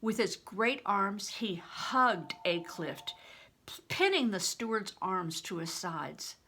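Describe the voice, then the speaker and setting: An older woman reading a children's novel aloud as a storyteller, in expressive, deliberate audiobook narration. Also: mid-range voice, a little hiss or hum.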